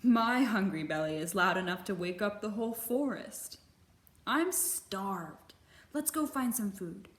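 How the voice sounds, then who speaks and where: A woman voicing a jolly, hungry papa bear in a storybook, hearty and booming. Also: animated, unhurried, reverberant, lightly acted. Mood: jovial.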